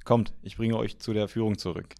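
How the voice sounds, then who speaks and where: A man's voice: on the low side, fairly even intonation, a little fast, vocal fry, warm tone, no echo. A man voicing a friendly young male footballer who talks in an easygoing, amused way.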